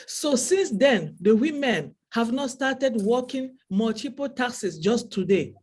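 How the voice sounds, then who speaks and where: A woman's voice: on the high side, expressive, slow pace, darkish tone, slightly rough. Heartfelt woman with Cameroonian French accent. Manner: heartfelt, repeats phrases for emphasis. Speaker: webinar speaker. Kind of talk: speech to an online audience.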